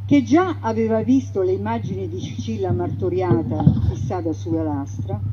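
Woman narrator, very dark mellow tone, measured ironic delivery, dull radio sound. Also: medium pitch. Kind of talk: scripted theatrical narration. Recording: dull radio broadcast with faint noise.

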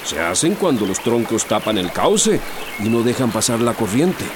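A man's voice: fairly low pitch, big pitch swings, normal speed, background noise. An actor playing a cautious, knowledgeable outdoorsman in a radio drama. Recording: clear running-water sounds behind.

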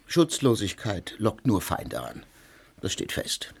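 A stern, low-voiced official. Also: male voice, huge pitch swings, slow, breathy edge, exaggerated voice. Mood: curt. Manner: curt, dismissive. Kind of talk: radio play acting.

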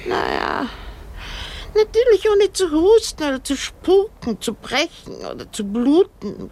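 A wry, complaining old woman in a radio drama. She speaks slowly with a creaky edge and hugely varied pitch, theatrical and morbidly sorry for herself. A faint noise sits behind her. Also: big pitch swings, darkish tone, full character voice. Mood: morbid, lamenting. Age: older. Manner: theatrical, lamenting, fussy, drawn-out. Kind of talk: German radio drama acting.

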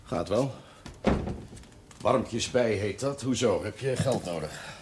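An actor playing a wry, irreverent village priest with a Dutch accent in a television drama, teasing and ironic. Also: male voice, on the low side, animated, slow pace. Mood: dry, wry. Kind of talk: naturalistic television drama acting. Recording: noisy old television soundtrack.

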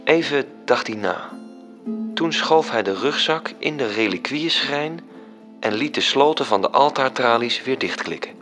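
An actor narrating a radio drama as a storytelling angel reporting events. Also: male voice, medium pitch, lively intonation, unhurried, fairly bright tone. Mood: suspenseful. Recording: music under the voice.

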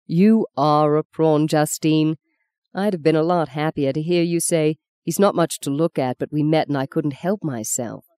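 A woman reading a story, voicing a gentle, devout young man who speaks softly, sadly and steadily. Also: sits low, normal speed, smooth, dry recording, studio quality, lightly acted. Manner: soft, sad, steady.

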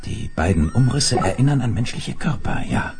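An actor playing a sober, commanding space leader who tells his own adventure in a radio play, measured and authoritative. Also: mid-range voice, lively intonation, a little mellow, soft background music, lightly acted. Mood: grave, measured. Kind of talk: 1970s German radio play.

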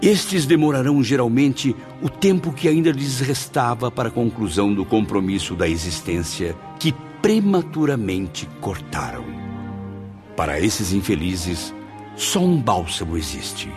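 A man's voice: lowish voice, animated, a little slow, over music. An actor playing a tormented, despairing male spirit in a radio drama, dramatic and full of anguish. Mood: sorrowful, grave. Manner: dramatic, anguished, erupting into cries. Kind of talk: radio drama acting with solemn narration.

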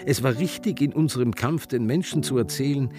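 Actor as earnest activist orator over music. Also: male voice, mid-range voice, a little fast, close to an everyday voice. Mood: earnest, resolute. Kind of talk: rhetorical speech in a radio drama.

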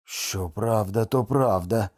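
A man's voice: lowish voice, takes it slowly, darkish tone, breathy edge. A man voicing a skeptical ship's boatswain in a storybook, drawling and doubtful. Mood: surprised, doubtful. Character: wary, slow-talking ship's boatswain, skeptical drinking companion.

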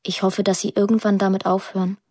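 A young woman, voiced by an actress tells her story introspectively in a radio play. Her voice is a little husky and very close and dry. She speaks plainly and thoughtfully, in a measured way. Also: mid-range voice, level tone, warm tone, no echo, lightly acted. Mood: reflective, confiding. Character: pensive young woman narrating her estranged family. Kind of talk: introspective radio drama narration.

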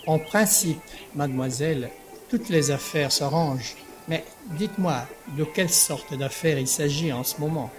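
An actor playing a courteous, indulgent provincial court president in a French audio drama, measured and formal. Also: male voice, a little high, faint music behind, natural voice.

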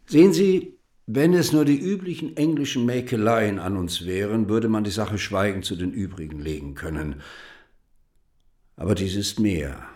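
An actor playing a bitter, patriotic French writer and deputy in a radio play, eloquent, rhetorical and ironic. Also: male voice, lowish voice, normal speed, a little mellow, close to an everyday voice. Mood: indignant, urgent.

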